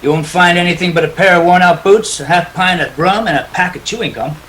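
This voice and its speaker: A man's voice: high-pitched, fairly quick, a bit bright, slight background noise, rough recording. A man acting a wisecracking, cool private detective in a 1940s radio play, clipped and wry. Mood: wry, unruffled.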